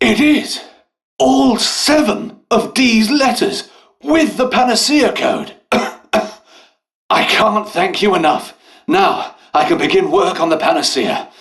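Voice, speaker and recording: Game role: dutiful British scientist-physician, genteel and faltering, very animated intonation, measured slow delivery. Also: male voice, high voice, exaggerated voice. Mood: excited, grateful. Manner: genteel, hesitant, old-fashioned politeness. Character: polite, dutiful, harried English royal doctor and scientist. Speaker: video game voice actor. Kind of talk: scripted game character dialogue.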